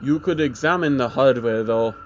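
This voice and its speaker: A man's voice: medium pitch, moderate pace, highs cut off, a little hiss or hum, natural voice. A man voicing a wary, blunt keeper of cursed tapes in a horror story, giving matter-of-fact warnings. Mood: grave warning.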